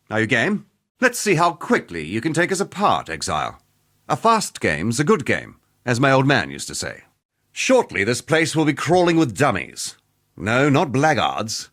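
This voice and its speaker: A man's voice: mid-range voice, fairly bright tone. A man playing a cocky, playful veteran fighter in a video game, jaunty and teasing, with relish for a brawl. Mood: taunting, playful. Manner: jaunty, teasing, colloquial swagger. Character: cocky, playful veteran male arena fighter, jovially bloodthirsty. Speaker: video game character voice actor. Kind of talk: scripted game character dialogue.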